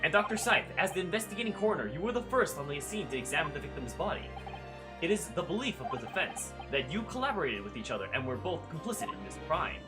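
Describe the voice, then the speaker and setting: Earnest game defense lawyer, woman acting, low-voiced, formal, accusing, very animated, music behind. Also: fast talker. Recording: music under the voice.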